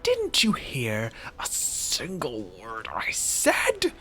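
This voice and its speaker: A man's voice: medium pitch, huge pitch swings, extremely slow, pushed and bright, faint music behind, voice pushed up, heavily acted. A man voicing a brash, short-tempered young fighter in a visual novel, threatening and taunting. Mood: angry, taunting.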